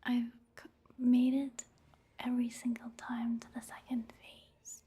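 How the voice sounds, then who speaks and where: A woman giving soft-spoken ASMR commentary over gameplay in a breathy, hushed whisper, unhurried. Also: fairly high pitch, completely flat delivery. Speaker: ASMR video creator narrating her gameplay.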